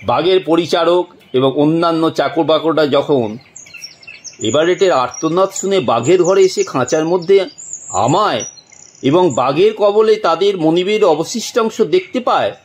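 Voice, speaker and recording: A man narrating a suspense story from a script in a dramatic, deliberate way, with long pauses. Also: fairly high pitch, a little hiss or hum.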